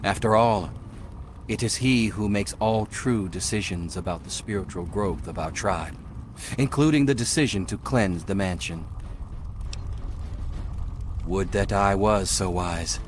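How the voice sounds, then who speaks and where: An actor voicing a serene, devout male cult leader in a video game, soft-spoken and formal. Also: sits low, unhurried, slight background noise.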